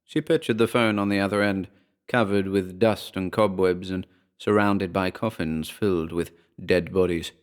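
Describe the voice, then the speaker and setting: Low-voiced male horror story narrator. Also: steady tone, normal speed, clear, resonant tone.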